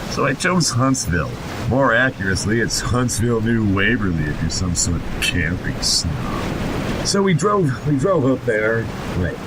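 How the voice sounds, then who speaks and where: A man's voice: sing-song, a little slow. A man co-hosting a podcast in casual, joking banter with sardonic asides and easy swearing. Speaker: podcast co-host. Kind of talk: casual banter while reading and mocking an online horror story. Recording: noisy, constant low rumble.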